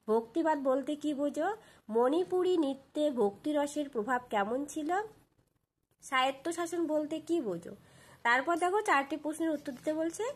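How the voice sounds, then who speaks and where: A woman teaching, reading out exam questions and answers in an instructional way with frequent checking questions. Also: sits high. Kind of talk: exam question walkthrough for school pupils.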